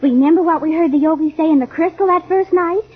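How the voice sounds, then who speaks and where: A woman's voice: very high-pitched, fast talker. An actress playing a spirited, outspoken teenage girl in an old-time radio adventure, girlish and emphatic. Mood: suspicious, indignant. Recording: muffled vintage radio recording.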